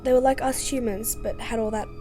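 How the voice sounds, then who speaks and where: Young female researcher acted by a woman, lightly high voice, earnest and uneasy, amateur sci-fi drama over clear music. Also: steady tone, a little fast, natural voice.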